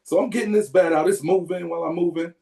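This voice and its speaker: A man casually telling a profane, animated story as a podcast co-host. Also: high voice, quick pace, creaky. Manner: animated, profane, loud yelps when acting out.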